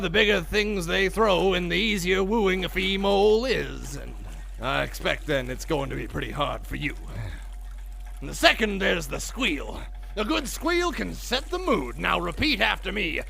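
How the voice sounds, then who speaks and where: A man's voice: high voice, creaky, bright, forceful tone, heavily acted. An actor playing a crotchety, bossy old male mole who blusters and mutters in a comic audio drama.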